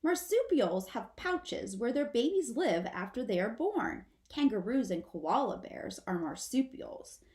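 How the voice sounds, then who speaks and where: A woman's voice: a little high, big pitch swings, unhurried, hardly any pauses, darkish tone. A woman voicing a patient, protective mother possum who instructs her young in a children's storybook. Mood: explaining. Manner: instructive, emphatic. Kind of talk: children's storybook read-aloud. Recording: roomy, echoing sound.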